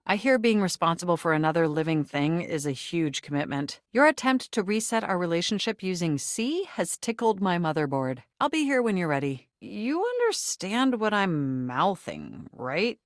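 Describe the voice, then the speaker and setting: A woman's voice: on the low side, big pitch swings, moderate pace, hardly any pauses, dry recording, studio quality. A woman voicing a sentient home computer in a dating video game, nerdy and romantic, with flirtatious, theatrical delivery and formal phrasing. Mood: polite, measured. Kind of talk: video game character voice acting.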